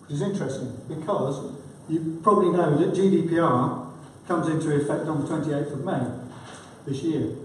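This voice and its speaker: Croaky-voiced man presenting in a hall. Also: on the high side, a little hiss or hum. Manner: informal, halting with fillers. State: croaky from a cold. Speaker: conference speaker, consultant. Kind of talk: conference presentation. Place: large conference hall. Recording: echoey hall, distant mic.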